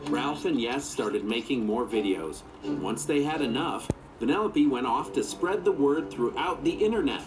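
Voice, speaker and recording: A man's voice: mid-range voice, expressive, moderate pace, rough recording. Man narrating a storybook over music. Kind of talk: children's story read-aloud.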